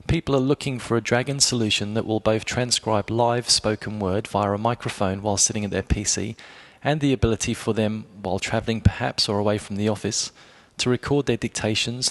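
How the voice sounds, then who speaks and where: A man with a fairly low voice presents a scripted product review. He speaks in a measured, explanatory way and addresses the listener directly, as a blogger giving advice would.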